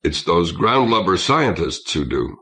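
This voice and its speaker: A man's voice: deep voice, big pitch swings, a little slow, bright, forceful tone, heavily acted. A man voicing a weary, grave male starship officer in an audiobook, speaking in a clipped, matter-of-fact way. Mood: brisk, matter-of-fact.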